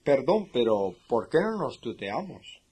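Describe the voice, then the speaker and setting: A man with a Spain Spanish accent playing a courteous, sociable Spanish man in a language-course dialogue, speaking slowly and clearly for learners. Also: medium pitch, very animated, a little mellow, full character voice. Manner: slow and clearly spoken for learners.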